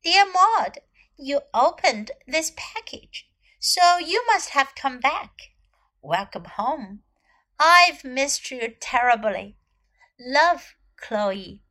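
A woman voicing an affectionate, friendly young girl in a storybook, with an earnest, expressive manner. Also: sits high, very slow, exaggerated voice.

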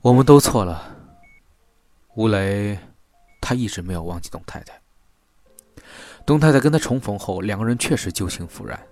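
A man plays an investigator in an audio drama. His voice is on the low side, grave and measured, as he lays out events like evidence. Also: expressive, a little mellow, dry recording. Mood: sombre, explanatory. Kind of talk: Chinese audio drama acting.

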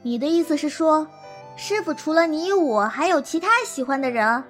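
A woman's voice: sits high, normal speed, soft background music, close to an everyday voice. An actress playing a proud, naive and fierce girl martial-arts disciple in a Chinese audio drama, with a childlike, blunt manner. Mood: naive, curious.

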